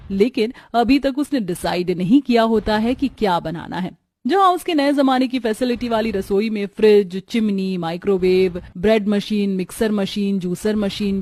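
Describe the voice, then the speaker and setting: A woman's voice: mid-range voice, a little mellow. A woman narrating a scripted story as the storyteller.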